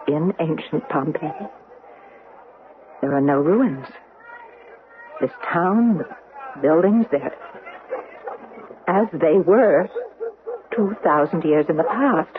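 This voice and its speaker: Radio drama: insistent, bewildered woman tourist, emphatic and animated, thin telephone-like sound. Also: on the low side. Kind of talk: old-style radio drama acting with narration. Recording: narrow-band, noisy radio broadcast recording.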